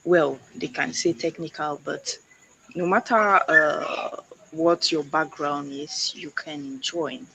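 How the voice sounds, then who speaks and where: A young woman from Cameroon, a guest speaker on an online panel, talking haltingly with many fillers and restarts. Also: deep voice, fairly even intonation, a bit bright, slightly rough. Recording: noisy background.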